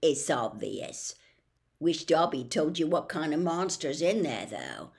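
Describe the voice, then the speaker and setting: An older woman plays a hot-tempered schoolboy from a storybook. He speaks bluntly and emphatically, with a grumbling edge and big pitch movement. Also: sing-song, measured pace, vocal fry, heavily acted. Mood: triumphant.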